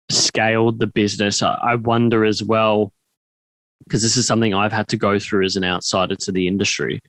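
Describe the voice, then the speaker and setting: A man's voice: fairly low pitch, fairly bright tone, no room sound, poor audio. A man hosting an interview, talking conversationally and reflectively as he builds up long questions. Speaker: podcast host, interviewer.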